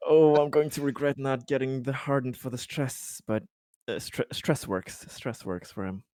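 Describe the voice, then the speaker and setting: A man's voice: mid-range voice, steady tone, moderate pace, a little mellow, no room sound. A man chatting casually as a player in a tabletop game.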